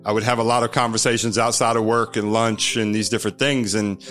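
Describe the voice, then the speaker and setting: American guy in his 30s, lowish voice, earnest, stumbles and repeats words, telling his story on a podcast. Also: level tone, fairly quick, fairly bright tone, soft background music. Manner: earnest, repeats words when starting sentences. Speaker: podcast guest. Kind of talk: candid personal storytelling.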